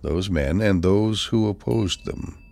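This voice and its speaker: A man reading poetry aloud in a solemn, measured and deliberate manner, leaving pauses between phrases. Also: sits low, gravelly, a little mellow. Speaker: poetry reader. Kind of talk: dramatic poetry recitation.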